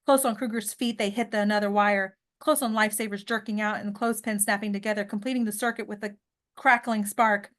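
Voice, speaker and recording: A woman's voice: medium pitch, steady tone, brisk, fairly bright tone. A woman narrating screenplay directions at a table read, now and then stumbling over words and rereading them. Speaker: narrator reading stage directions.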